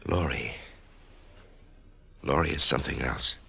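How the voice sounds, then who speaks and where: An actor playing a middle-aged American man facing death, introspective and halting, narrating his own ordeal in a radio drama with a gravelly voice. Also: very deep voice, pushed and bright, full character voice. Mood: uneasy, hesitant. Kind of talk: radio drama acting with first-person narration.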